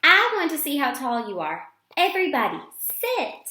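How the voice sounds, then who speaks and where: A woman reads as an eager, playfully bossy schoolgirl from a children's storybook. The voice is fairly high and leaps about in excitement. It rings in a roomy, echoing space. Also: moderate pace, exaggerated voice. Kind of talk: children's storybook read-aloud.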